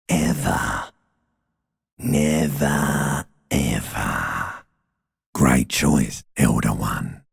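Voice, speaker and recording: Primeval reptile-brain creature, video game inner voice, heavy croaking fry, very husky rasp, menacing, mock-soothing, world-weary, theatrical. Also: male voice, very deep voice, exaggerated voice. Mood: dismissive, mocking. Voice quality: gravelly, raspy, guttural croak. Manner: slow, drawn-out, mock-soothing, sardonic crooning.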